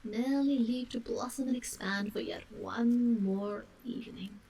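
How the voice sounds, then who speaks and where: A woman's voice: a little high, measured pace, creaky, mellow, a little hiss or hum, rough recording. A woman voicing a vain, self-pitying male toad in a piteous, theatrically contrite manner for a storybook. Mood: mournful, contrite.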